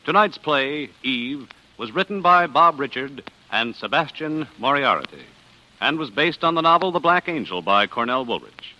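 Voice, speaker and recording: A man's voice: medium pitch, lively intonation, moderate pace, bright, forceful tone, dull audio. A man working as a formal 1940s radio announcer, reading scripted credits with emphatic delivery. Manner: formal, crisply enunciated, emphatic.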